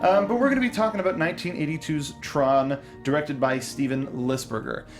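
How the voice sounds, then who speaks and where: A man's voice: on the high side, fairly quick, over music. A young man co-hosting a casual movie review show, enthusiastic and rambling, often restarting his sentences. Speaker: podcast co-host. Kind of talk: casual film review chat.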